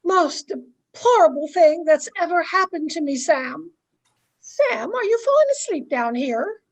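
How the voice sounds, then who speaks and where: A woman performs a bossy, self-important society medium for an old-time radio play. Her voice sits high and moves at a stately, slow pace. She is theatrical and imperious, leaning hard on her words. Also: lively intonation, exaggerated voice, rough recording. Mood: agitated, commanding. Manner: theatrical, imperious, grandly emphatic. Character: pompous, bossy society wife playing self-styled medium. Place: remote recording over a video call.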